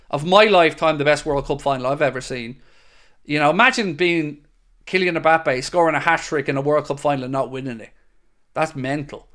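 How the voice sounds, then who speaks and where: A young man hosts a live stream and answers viewers one by one. His talk is casual and upbeat, and his voice sits a little on the high side for a man. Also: fairly bright tone. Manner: chatty and upbeat, reads questions aloud then answers. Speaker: host of a football fan channel.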